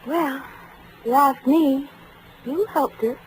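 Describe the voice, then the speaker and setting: An actress playing a devoted, naive and chirpy American secretary in a 1940s radio drama, fussing earnestly and adoringly. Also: female voice, high voice, slow pace. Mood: fretting, earnest. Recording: old radio broadcast, faint noise.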